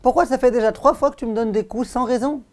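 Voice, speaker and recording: A man's voice: very high-pitched, steady tone, fast talker, voice pushed up. A man voicing a loyal, wounded female donkey who pleads reproachfully with her master, as a storyteller. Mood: hurt pleading. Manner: plaintive, reproachful questioning.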